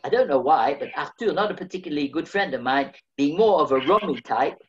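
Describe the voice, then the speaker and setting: A man's voice: fairly high pitch, lively intonation, brisk, muffled recording. A man, reading his own memoir aloud over a video call with dry, wry humor. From England. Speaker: memoir reader.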